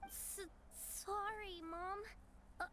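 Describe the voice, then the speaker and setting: A tiny timid game girl, super high voice, slow and stammery. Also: fairly even intonation, bright, forceful tone, slight background noise, heavily acted. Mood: timid, apologetic. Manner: hesitant, stammering. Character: timid, sickly little girl, apologetic daughter. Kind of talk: video game voice acting.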